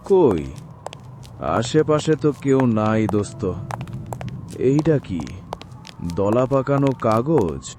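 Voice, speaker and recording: Casting: man as uneasy cowardly schoolboy, mid-pitched and unhurried, horror drama, Bangladeshi Bengali dialect. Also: very animated. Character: cowardly, slow-talking schoolboy sidekick. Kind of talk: acted audio horror story dialogue. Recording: noisy, vehicle rumble behind.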